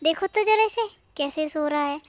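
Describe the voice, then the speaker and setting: A woman's voice: high voice, moderate pace, muffled recording, background noise, close to an everyday voice. A woman voicing an exasperated, nagging crow wife in a children's cartoon, complaining dramatically. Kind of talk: Hindi cartoon voice acting for children.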